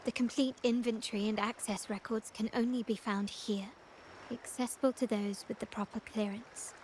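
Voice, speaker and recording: A woman playing a poised young noblewoman in a video game, speaking in a measured, formal, commanding way. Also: on the high side, steady tone, a little mellow, a little hiss or hum, close to an everyday voice, poor audio. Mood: composed, businesslike. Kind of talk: scripted video game character acting.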